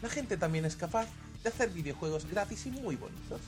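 A man from Catalonia co-hosting a podcast, joking in a dry, deadpan casual chat. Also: high voice, fairly quick. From Catalonia, Spain. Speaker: podcast co-host. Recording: background music.

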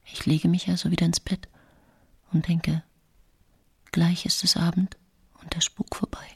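An actress playing a secretive, fearful middle-aged woman accused of poisoning, in a husky whispered radio drama monologue. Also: lowish voice, level tone, measured pace, darkish tone, dry recording, studio quality, heavily acted. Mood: hushed, resigned.

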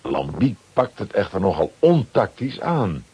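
A man narrating a children's radio play as a scripted storyteller, with a very husky voice. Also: fairly low pitch, very animated, unhurried, mellow, full character voice.

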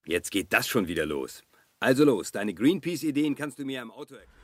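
A man's voice: medium pitch, very animated, a little fast, a bit bright, dry recording, clean studio sound. An actor playing an exasperated, stern but fond father in a children's radio play, urging and reproving.

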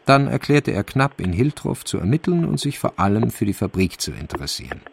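Gravelly, low male crime narrator. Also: sing-song, fairly quick. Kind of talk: scripted crime radio drama narration. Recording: music under the voice.

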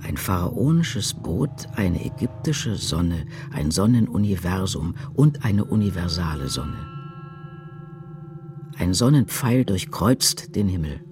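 A man reciting poetry in a measured, incantatory, deliberate way for a radio drama. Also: sits low, sing-song. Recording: music behind the voice. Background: loud music.

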